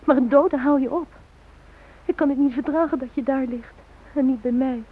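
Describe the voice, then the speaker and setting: High, husky grieving bride-to-be. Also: female voice. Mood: grieving, tender. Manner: anguished, halting, addressing the dead. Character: grieving young bride-to-be mourning her shot fiancé. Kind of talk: radio drama monologue. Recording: dull, lo-fi old radio recording.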